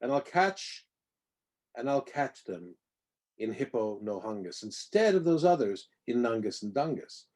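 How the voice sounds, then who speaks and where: A man's voice: mid-range voice, sing-song, measured pace, a little mellow. A man reads a rhyming children's picture book aloud with playful, theatrical emphasis on the nonsense words. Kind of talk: expressive read-aloud of rhyming storybook verse.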